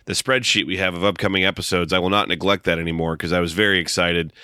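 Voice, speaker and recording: A man's voice: low voice, steady tone, fast, bright, forceful tone, smooth, dry recording. A man co-hosting a film podcast in a casual chat with dry, wry humor. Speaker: podcast co-host.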